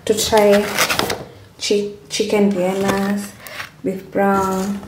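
Slow-talking young woman in an echoey room. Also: medium pitch, monotone. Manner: chatty, listing items with fillers and self-corrections. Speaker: vlogger. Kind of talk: casual vlog narration. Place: small indoor room at a table. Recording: echoey room with clear background noise.

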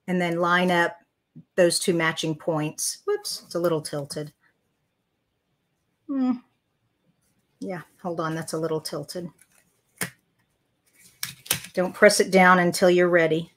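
A woman's voice: on the low side, slow pace, pauses often. An older woman hosting a live card-making tutorial, chatting as she works and reading viewer comments aloud. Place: craft room at a desk.

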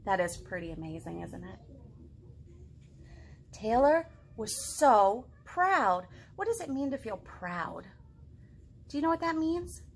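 A woman's voice: fairly high pitch, very animated, slow pace, pauses often, husky. A woman in her thirties hosting a read-aloud storytime, talking expressively and directly to young children. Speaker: children's librarian, storytime host. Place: living room at home.

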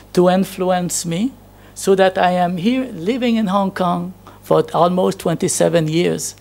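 Man, fifties, gravelly, chatty sermon, handheld mic, church hall. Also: sits high, darkish tone. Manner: storytelling, chatty, laughs at himself. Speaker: preacher. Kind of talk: anecdotal sermon. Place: church hall with projection screen. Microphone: handheld microphone.

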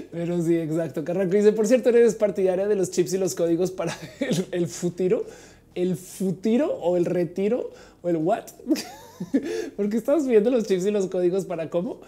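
A woman's voice: mid-range voice, mellow. A woman in her forties hosting a livestream, reading viewers' messages in a chatty, playful way with lots of fillers. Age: 40s. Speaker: livestream host reading chat. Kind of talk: casual live chat.

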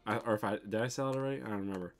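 Young midwest dude rambling on his bedroom stream, lowish voice, says um a lot. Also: very fast. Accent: Midwestern American. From American Midwest. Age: young adult. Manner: rambling, loose, swears casually, many ums. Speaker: toy unboxing livestream host. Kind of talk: casual livestream chat reading viewer comments. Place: bedroom, indoors.

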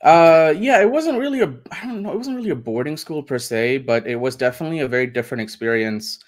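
A man from India, a guest on a video call interview from home, speaking in a relaxed, thoughtful way with lots of hedges. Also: a little high, fast. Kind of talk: casual interview.

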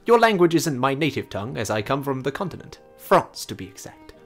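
A man reading out a letter as a haughty, roguish French gentleman thief, in a grandiose, mock-French voice. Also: mid-range voice, sing-song, a little fast. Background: game music.

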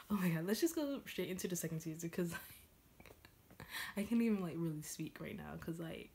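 Excited young woman, lowish voice, noisy room. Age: 20s. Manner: excited, gushing; halting, repeats "like" heavily. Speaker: reaction video host. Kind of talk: casual reaction vlog chat. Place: small room at home, indoors. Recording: clearly noisy home room recording.

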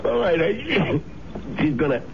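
A man playing a sickly, sly young man turned murderer in a 1970s radio drama, breathless and stammering with gentle menace. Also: a little high, sing-song, unhurried, creaky, crowd noise, full character voice. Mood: menacing. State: winded and sickly. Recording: muffled old radio broadcast with hiss.

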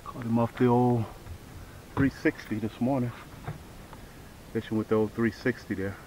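A man's voice: mid-range voice, steady tone, normal speed. A middle-aged American man chatting casually to camera in a laid-back way, often repeating himself, as a fishing vlogger. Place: outdoors on a fishing boat on a lake. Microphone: camera mic, close then several feet away. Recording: clearly noisy outdoor boat recording. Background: boat motor and outdoor noise.

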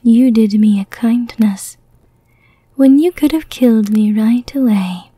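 Lady doing a slow whispery vampire woman, asmr roleplay, mellow and breathy, menacing but kinda merciful, teasing. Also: fairly high pitch, no room sound, voice pushed down, rough recording. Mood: calm, merciful. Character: seductive, menacing yet merciful female vampire.

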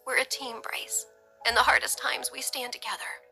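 A woman voicing a tender, steadfast young mother in a murmuring, breathy whisper, in an audio story. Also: high-pitched, fairly even intonation, normal speed, bright, forceful tone, faint music behind, pitched above the performer's own voice, heavily acted. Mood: tearful relief.

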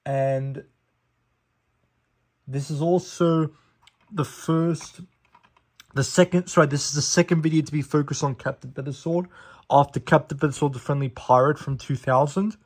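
A young man talks through a DVD review in a casual, chatty way, rattling off facts with fillers and quick self-corrections. His voice sits a little on the high side. It sounds like a phone recording in a small living room. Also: fairly even intonation, slightly rough. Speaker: DVD collector reviewing a disc. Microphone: phone camera held over the disc.

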